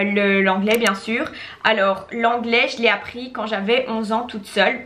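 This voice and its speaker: A woman's voice: medium pitch, pushed and bright. A young woman vlogging about language learning, talking casually with frequent restarts and slipping into English mid-sentence. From Belgium. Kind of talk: casual talking-head vlog.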